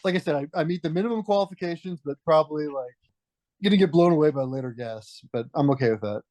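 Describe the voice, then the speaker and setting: A man's voice: fairly high pitch, fast talker, darkish tone, dry recording. A man talking as a podcast guest, telling his story in a reflective, hesitant way and often restarting phrases.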